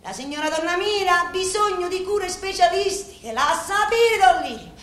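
A woman's voice: extremely high pitch, lively intonation, normal speed, fairly bright tone. An actress voicing an insistent, formal male doctor with a Sicilian accent in a theatrical radio drama. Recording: roomy echo, noisy background.

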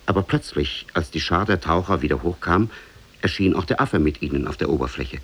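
Deep, creaky-voiced male storyteller. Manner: measured, storytelling. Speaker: narrator. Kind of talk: scripted storybook narration, 1950s radio.

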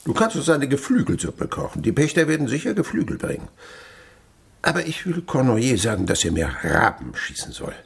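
A gravelly, grudging old miser. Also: male voice, medium pitch, huge pitch swings, fairly quick, exaggerated voice. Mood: instructing, dry. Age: older. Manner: grudging, stammers at times. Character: miserly old French father, domineering and grumbling. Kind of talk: German radio play acting.

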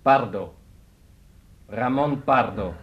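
An actor playing a guarded, middle-aged or older Spanish innkeeper in a radio drama, terse and evasive. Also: male voice, medium pitch, slow pace, dull audio, lightly acted. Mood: curt, evasive.

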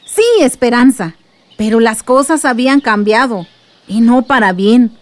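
Woman acting a gentle, high-voiced Mexican storytelling aunt. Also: a little slow, close to an everyday voice. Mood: earnest storytelling. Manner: gentle, reminiscing. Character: kindly aunt telling her village's past to a child. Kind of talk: radio drama storytelling.

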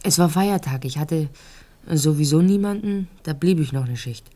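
A woman's voice: sits low, moderate pace, close to an everyday voice. An actress as a frank, rambling young woman storyteller. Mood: matter-of-fact, candid. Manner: rambling storytelling, occasional hushed phrases. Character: talkative young red-haired Ukrainian-born woman, naive, frank. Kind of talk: conversational radio drama monologue.

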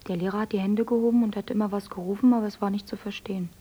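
An actress playing a young woman on a farm, retelling events in a hushed voice and softly musing to herself. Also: medium pitch, steady tone, very fast, breathy edge, natural voice. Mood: hushed report. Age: young adult. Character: clever young woman, the miller's adopted daughter.

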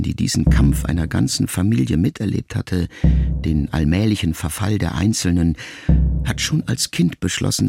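Grown son, very gravelly low voice, melancholy, intimate literary radio play monologue, measured. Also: male voice. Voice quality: gravelly, creaky. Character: pensive grown son addressing his dying mother, intimate, melancholy.

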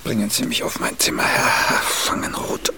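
An actor playing a vain, self-absorbed older male playwright in a German radio play, haughty and commanding. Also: pushed and bright. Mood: imperious. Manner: haughty, commanding, muttering with pauses. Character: vain, imperious aging celebrated playwright, testy and self-absorbed.

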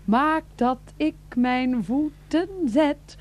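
A pious, excitable woman in an absurd radio comedy. Her high voice insists and recites slowly, over a noisy, humming background. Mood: insistent, devout. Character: hymn-obsessed, pious, excitable woman of a household. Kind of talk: absurd comic radio play. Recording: clear background noise and hum.

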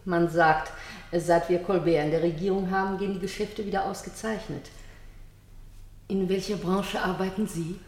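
A woman plays a proper convent sister in a radio drama, chatty and courteous, a little fussy. Her voice is slightly low and rings in a roomy, echoing space. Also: steady tone, normal speed, a little mellow, close to an everyday voice. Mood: politely chatty. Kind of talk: German radio drama acting. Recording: echoey roomy radio play sound.

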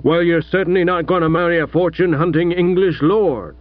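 A man voicing a blustering, gruff older American millionaire father who talks bluntly, in a dull lo-fi radio recording. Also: fairly high pitch, brisk, dry recording, pitched above the performer's own voice, close to an everyday voice. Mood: angry blustering. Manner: snorting, bullying, blunt.

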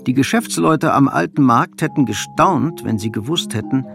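A man giving measured, scripted literary narration for a radio drama. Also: medium pitch, animated, vocal fry. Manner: measured, storytelling. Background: faint music.

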